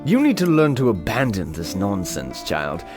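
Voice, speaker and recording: An actor playing a cynical, indolent manor lord with a sardonic, pompous, lecturing manner in a fantasy audiobook. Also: male voice, fairly low pitch, huge pitch swings, normal speed. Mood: scornful. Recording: music behind the voice.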